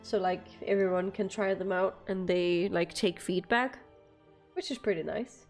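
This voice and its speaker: A woman's voice: medium pitch, fairly even intonation, measured pace, faint music behind. A young woman from Denmark with a light Danish accent, chatting casually with viewers on a live stream and laughing often between phrases.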